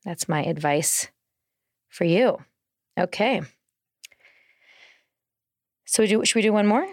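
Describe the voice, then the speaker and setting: A woman's voice: medium pitch, expressive, slow, no echo, studio quality. A woman co-hosting a casual podcast conversation, explaining things through personal anecdotes. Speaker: podcast co-host.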